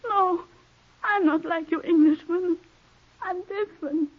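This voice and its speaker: A woman's voice: very high voice, unhurried. An actress playing a devoted young foreign woman in love, earnest and pleading, in a 1940s radio drama. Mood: tearful devotion. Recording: dull 1940s radio broadcast recording.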